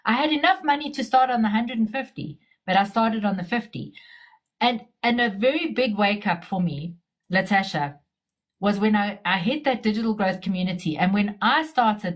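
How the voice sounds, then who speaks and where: A woman speaks in a casual, unguarded way, blunt and outspoken as she explains her work in digital marketing. Her voice sits at an ordinary middle pitch. She is on a video call. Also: expressive, normal speed. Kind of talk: casual conversation.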